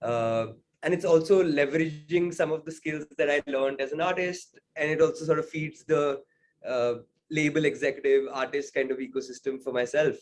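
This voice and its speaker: A man's voice: a little high, normal speed, a little hiss or hum. A young man from India, a singer-songwriter, answering interview questions thoughtfully, with frequent fillers and "right?" tags.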